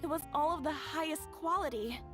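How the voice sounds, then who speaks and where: A woman's voice: sits high, fairly quick, music in the background, lightly acted. A young woman playing a sweet, humble, grateful bride-to-be in a video game. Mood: earnest, hesitant.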